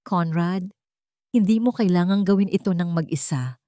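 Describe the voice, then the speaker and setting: A woman's voice: fairly low pitch, normal speed, warm tone, dry recording, studio quality, natural voice. A woman voicing a hurt but devoted young woman in a romantic audio drama, earnest and pleading.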